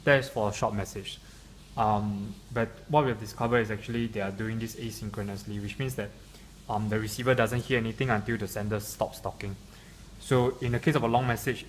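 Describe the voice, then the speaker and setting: Guy with a lowish voice talking thru a demo, kinda halting, clear background noise. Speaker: software demonstrator. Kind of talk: unscripted product demo explanation. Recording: clearly noisy room recording.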